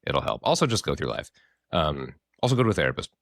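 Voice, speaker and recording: A man with a very deep, hoarse voice rattles through his thoughts at a quick clip. He's a Midwestern therapist on a podcast, expressive and rambling, dropping swear words freely. The sound is dry and close to the mic. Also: no room sound. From American Midwest. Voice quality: hoarse from screaming. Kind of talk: casual podcast chat.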